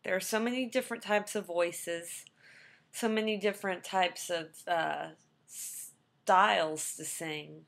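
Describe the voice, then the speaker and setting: Young woman talking into her webcam, lowish voice, lots of ums, kinda rambly. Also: slow pace, vocal fry, fairly bright tone. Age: young adult. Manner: rambling, many ums and restarts. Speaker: singer talking about herself. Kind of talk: casual webcam talk.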